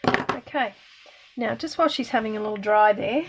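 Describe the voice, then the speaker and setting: A middle-aged woman from Australia, slightly croaky today, chatting casually and self-deprecatingly while she demonstrates card-making. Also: a little high, moderate pace, heavy background noise. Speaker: craft demonstrator.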